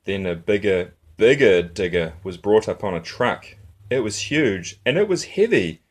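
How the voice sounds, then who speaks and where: Guy doing storytime for little kids, kinda low voice, really swoopy expressive rhythmic reading. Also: unhurried. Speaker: storyteller and host of a children's story channel. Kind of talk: children's picture book read-aloud.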